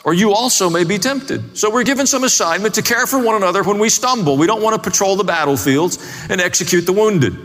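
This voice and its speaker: A man's voice: high-pitched, fairly bright tone. A man preaching a sermon as a pastor, explaining scripture in a plain-spoken way, recorded in a reverberant hall. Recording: long reverb of a large hall.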